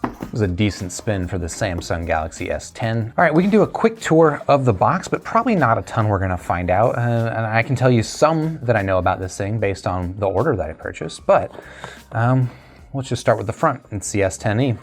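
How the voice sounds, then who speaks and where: Guy with a kinda low voice doing a chatty unboxing review, thinks out loud, music playing behind him. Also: very animated, fairly quick. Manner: conversational, thinking aloud. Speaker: tech reviewer. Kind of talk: casual unboxing and review chat.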